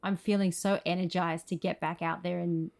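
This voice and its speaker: A woman's voice: lowish voice, little pitch movement, moderate pace, vocal fry, a little mellow, slight background noise. An Australian woman speaking as an interview guest, earnest and effusive in a conversational way. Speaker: podcast guest, screenwriter.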